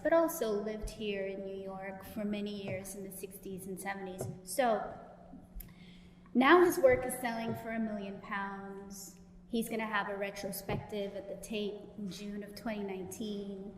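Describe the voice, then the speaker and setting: A woman's voice: mid-range voice, darkish tone, slight background noise. A woman with a Guyanese accent, speaking at a public panel talk in a gallery, telling stories and laughing easily. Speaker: panelist and professor. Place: gallery event space. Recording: echoey gallery room with long reverb.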